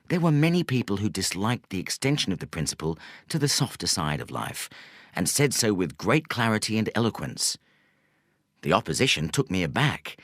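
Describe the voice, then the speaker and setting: A low-pitched male narrator reads scripted nonfiction with expressive rises and falls. His delivery is measured and articulate, with deliberate pauses between phrases. The recording is dry and close to the microphone. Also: no echo. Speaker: audiobook narrator.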